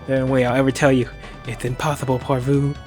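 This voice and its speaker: A man's voice: a little high, takes it slowly, soft background music. Man acting a desperate, defiant young hero. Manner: pleading, emotional.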